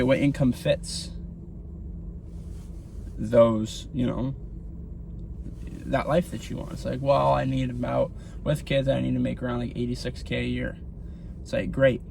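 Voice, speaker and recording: Gravelly-voiced man vlogging from his car. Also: medium pitch, a little mellow, slight background noise. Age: 30s. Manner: rambling, speaks to camera while driving. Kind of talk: casual motivational talk.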